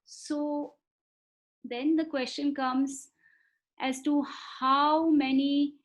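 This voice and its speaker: A woman giving a webinar lecture over a video call. She talks in a measured way, often repeating words for emphasis and pausing on fillers. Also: sits high, steady tone, rough recording. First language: not Marathi. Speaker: webinar speaker on foreign languages. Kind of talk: explanatory lecture.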